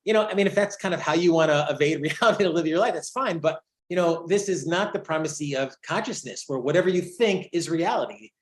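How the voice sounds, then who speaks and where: A man on a casual panel discussion, talking deliberately and slowing down to stress key phrases. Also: on the high side. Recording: roomy, echoey sound.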